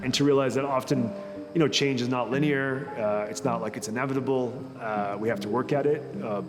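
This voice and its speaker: A man from Israel, founder of an urban farming company, explaining his mission in an earnest, measured way. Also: medium pitch. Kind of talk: interview-style talk to camera. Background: background music.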